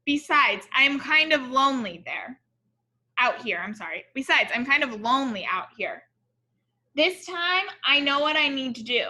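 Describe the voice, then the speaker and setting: High, bright-voiced woman reading a storybook. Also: normal speed. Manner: expressive, explanatory, asks the listeners questions. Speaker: teacher reading a picture book aloud to children. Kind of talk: read-aloud storytelling with asides to young listeners.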